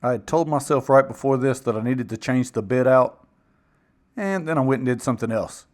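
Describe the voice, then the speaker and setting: A man's voice: mid-range voice, fairly quick. A man casually narrating his own build project in a plainspoken, folksy, self-deprecating way. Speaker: woodworking video maker and narrator.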